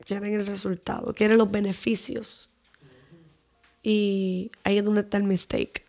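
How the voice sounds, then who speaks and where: Young Dominican evangelist with a Dominican accent; chatty, thorough talker in a podcast interview. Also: female voice, mid-range voice, flat delivery, measured pace, creaky, mellow. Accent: Dominican Spanish. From Dominican Republic. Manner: talkative, lengthy explanations. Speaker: evangelist guest.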